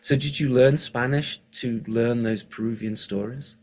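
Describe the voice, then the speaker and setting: A man hosting an interview, enthusiastic and quick with praise, asking rambling questions. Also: medium pitch, steady tone, a little mellow. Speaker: podcast host. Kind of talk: casual interview chat.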